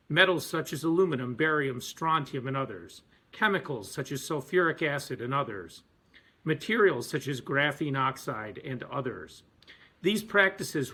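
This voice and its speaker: A man delivering a prepared advocacy speech as a physician, emphatic and deliberate with pauses. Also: fairly high pitch, pushed and bright. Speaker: physician and activist.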